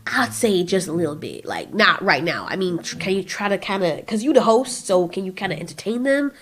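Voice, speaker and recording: A woman's voice: mid-range voice, big pitch swings, fairly quick, breathy edge. A woman voicing a sheepish, rambling male kaiju with slangy, hedging speech in an audio drama. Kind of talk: casual audio drama dialogue.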